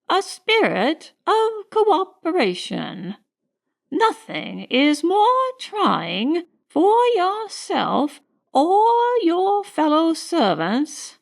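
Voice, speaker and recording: Woman playing a slow, haughty elderly grande dame. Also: very high voice, voice pushed up, full character voice. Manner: haughty, drawn-out, emphatic. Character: condescending elderly upper-class lady, severe and haughty.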